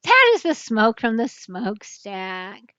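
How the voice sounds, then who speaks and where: A woman's voice: fairly high pitch, wildly swooping pitch, takes it slowly, bright, forceful tone, dry recording, full character voice. A grandmother playing a jolly ship captain. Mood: hearty. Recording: dull lo-fi dry recording.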